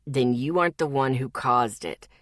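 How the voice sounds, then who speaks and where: A woman voicing a calm, steady, protective young man who speaks low, soft and even. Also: moderate pace, no room sound, studio quality. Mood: gentle.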